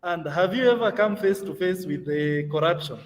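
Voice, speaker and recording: A man's voice: high voice, normal speed, long reverb tail, distant mic. A man moderating an online youth training session, talking conversationally with plenty of fillers. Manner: conversational, many fillers, laughs easily.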